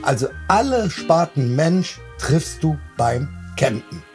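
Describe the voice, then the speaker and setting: A man, co-hosting a podcast and telling stories with lively enthusiasm. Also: on the high side, slow, soft background music. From Bonn, Germany. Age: 40s. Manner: animated, enthusiastic storyteller. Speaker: podcast co-host. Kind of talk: casual chat.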